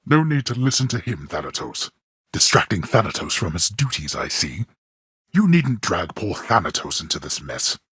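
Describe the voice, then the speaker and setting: Super deep raspy old god of the dead for a game, stern bitter dad, talks all formal and imperious, swoops up and down. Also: male voice, big pitch swings, fairly bright tone, no echo, clean studio sound, exaggerated voice. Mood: reproving. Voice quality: deep, gravelly, husky. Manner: formal, imperious, archaic phrasing, measured disdain. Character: stern, ancient god-king of the underworld, bitter father. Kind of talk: theatrical video game voice acting.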